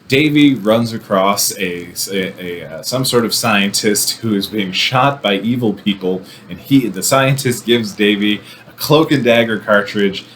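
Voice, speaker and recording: A man with a fairly low voice talks through films as the host of a review show. His delivery is casual and conversational, with an irreverent, wry edge. There is some faint noise behind him. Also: fairly bright tone. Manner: wry, irreverent, sardonic asides. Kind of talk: casual, conversational film commentary.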